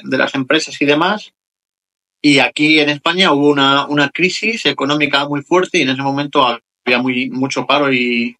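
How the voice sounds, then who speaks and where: A man's voice: medium pitch, sharp tone. A man in his early forties with a Madrid accent, giving casual answers as an interview guest. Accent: Madrid Spanish. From Madrid, Spain. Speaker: interview guest, emergency medical technician.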